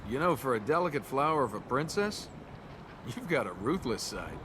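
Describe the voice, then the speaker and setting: A man's voice: mid-range voice, big pitch swings, normal speed, natural voice. An actor playing a flippant, wisecracking young male adventurer in a video game, with an American accent. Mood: wry, sarcastic. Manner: flippant, sarcastic. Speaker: video game lead character. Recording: game audio over loud ambient noise.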